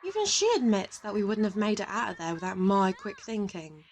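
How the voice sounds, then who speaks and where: A woman's voice: medium pitch, expressive, a little fast, a little hiss or hum, natural voice. A woman playing a cheeky, teasing former assassin turned thief in an audio roleplay, playful and taunting. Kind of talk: audio drama roleplay dialogue.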